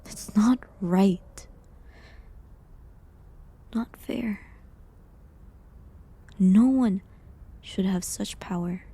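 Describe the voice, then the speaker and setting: A woman playing a falsely accused village healer in a medieval audio drama, sad and resigned, speaking softly and hesitantly with pauses. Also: medium pitch, heavily acted. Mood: bitter. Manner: soft, hesitant, breathy delivery with pauses. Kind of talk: dramatic audio roleplay monologue, medieval setting.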